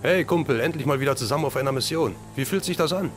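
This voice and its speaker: A man's voice: mid-range voice, quick pace, soft background music, close to an everyday voice. A man playing an easygoing, loyal crime-fighting agent in an amateur radio play, casual and reassuring. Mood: easy, reassuring.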